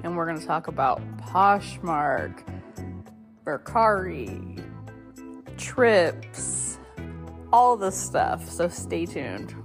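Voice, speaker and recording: A young woman hosting a casual podcast, a little hoarse from a cold, chatting loosely with lots of fillers and small laughs. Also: lowish voice, sing-song, drawn-out words, long pauses, faint music behind. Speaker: podcast host. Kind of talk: casual solo podcast chat.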